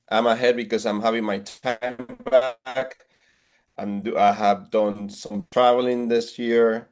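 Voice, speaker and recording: A man in his forties from Puerto Rico with a Puerto Rican Spanish accent, a real estate agent talking earnestly about his business and priorities. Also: mid-range voice. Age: 40s. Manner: earnest, motivational, with frequent restarts. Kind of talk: interview on video call.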